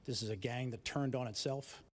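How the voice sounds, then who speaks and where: A man speaking as an official in an unscripted press statement, measured and repeating himself. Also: medium pitch.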